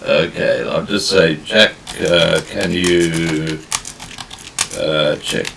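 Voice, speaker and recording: A man hosting a live video call show, chatty and halting as he sets things up. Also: deep voice, lively intonation, gravelly, recorded from a distance, background noise. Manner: chatty, halting, many restarts. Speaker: host.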